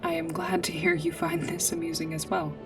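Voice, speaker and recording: A woman playing a polite, earnest android guide in a sci-fi ASMR roleplay, speaking in formal, precise, literal phrases with hesitant pauses. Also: medium pitch, very animated, creaky, far from the mic. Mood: polite, pleased. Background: music.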